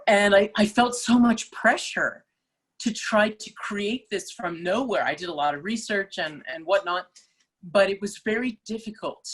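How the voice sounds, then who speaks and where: A woman's voice: mid-range voice, unhurried, breathy edge. Woman opera singer in casual interview chat, thoughtful, restarts often, laughs easily. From Malaysia.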